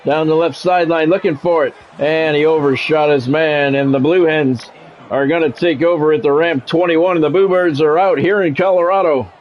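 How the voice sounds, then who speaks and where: A man's voice: on the high side, steady tone, moderate pace, dull audio, a little hiss or hum. A man doing sports play-by-play commentary in short announcer-style phrases, mixed with casual chat to his viewers. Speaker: live streamer and play-by-play commentator.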